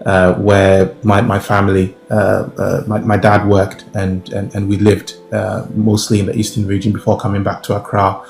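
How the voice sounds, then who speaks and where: A young man with a Ghanaian accent answers interview questions in a low, deliberate voice. He pauses often, fills gaps with "uh" and "um", and restarts his phrases. The sound is roomy, with faint music playing underneath. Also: completely flat delivery, gravelly. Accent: Ghanaian English. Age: young adult. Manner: measured, many fillers and restarts. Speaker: professor and guest.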